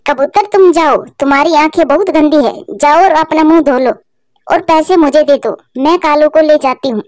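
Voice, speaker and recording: A woman's voice: normal speed, a little mellow, dry recording, studio quality, natural voice. A woman voicing a bossy, greedy female crow in a cartoon. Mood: scheming. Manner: shrill, bossy.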